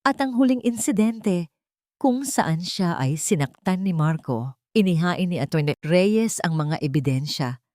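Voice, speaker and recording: A woman's voice: on the low side, animated, unhurried, dark tone, dry recording, clean studio sound. A woman reads a scripted dramatic story as its narrator.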